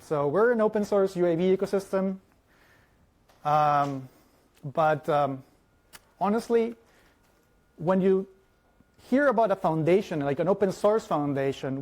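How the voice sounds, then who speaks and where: A man in his thirties with a Mexican Spanish accent, a native Spanish speaker, giving a fast, lively conference talk and often asking the audience questions. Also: high voice. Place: large lecture hall.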